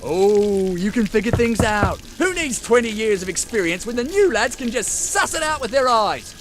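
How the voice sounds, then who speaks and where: A man's voice: high-pitched, big pitch swings, normal speed, fairly bright tone, slight background noise. An actor playing a grumbling, exasperated veteran workman in a video game, heavily sarcastic.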